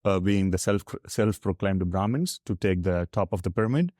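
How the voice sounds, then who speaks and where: A South Indian man, a native Tamil speaker with the accent to match, talks in a fairly deep voice. He explains his views earnestly and at length, in candid conversational style. The sound is dry, with little room in it. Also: normal speed, dark tone, no room sound. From Tamil Nadu, South India. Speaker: podcast guest.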